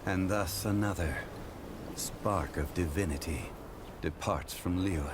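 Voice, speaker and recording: An actor playing an ancient male god in a video game, calm, dignified and formal, speaking in a slow, measured way. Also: low-pitched, noisy background. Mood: solemn.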